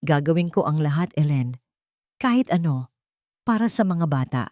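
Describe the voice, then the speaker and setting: A woman's voice: low-pitched, expressive, normal speed, darkish tone, dry recording, studio quality. A woman playing an earnest, resolute young heroine in an emotional audio drama.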